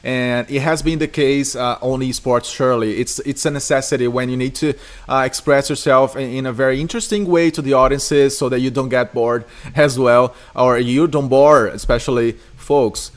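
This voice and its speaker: A man with a Portuguese-speaking accent hosts a casual podcast interview. His pitch sits in the middle, and he pauses often on "uh" while framing his questions. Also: steady tone. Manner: hesitant, with many "uh" fillers. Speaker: podcast host, esports caster.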